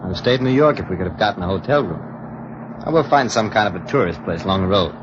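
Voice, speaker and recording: A man's voice: on the low side, expressive, fast talker, lightly acted. A man playing a practical, teasing young husband with an American accent, in 1940s radio drama style. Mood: relaxed, teasing. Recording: muffled, very noisy old radio recording.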